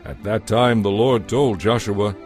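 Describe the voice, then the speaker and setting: Low-pitched man narrating scripture solemnly. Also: unhurried, darkish tone. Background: background music.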